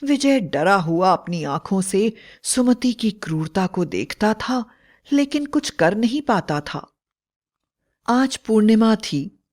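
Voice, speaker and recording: A woman's voice: mid-range voice, animated, slow pace, a little mellow. A woman narrating a scripted horror fairy tale in a dramatic storytelling style.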